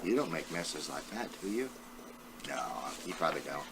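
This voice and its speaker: A man's voice: low voice, animated, normal speed, very noisy. An elderly man reading a children's storybook aloud, talking directly to his young listeners as a great-grandfather would. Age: 70s or older.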